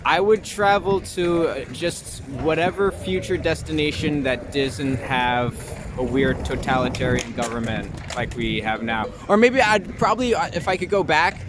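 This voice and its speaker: Young New man, high-pitched and slangy. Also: moderate pace. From Long Island, New York, United States. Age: young adult. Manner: informal, slangy, joking, profane. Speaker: interviewee, actor and improviser. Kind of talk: casual street interview chat. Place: outdoors in a city street. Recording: noisy outdoor city street with traffic.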